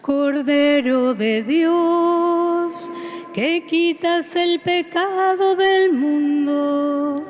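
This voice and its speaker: A woman cantor singing a slow, sustained chant during mass. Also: very high voice, fairly even intonation. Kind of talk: sung chant. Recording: church reverb with music.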